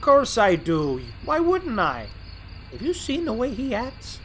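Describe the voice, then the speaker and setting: A man's voice: extremely high pitch, wildly swooping pitch, slow, a bit bright, slight background noise, full character voice. A man playing a boastful, grouchy, easily offended switcher locomotive in a fan audio drama. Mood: indignant, boastful. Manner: brash, indignant, boastful.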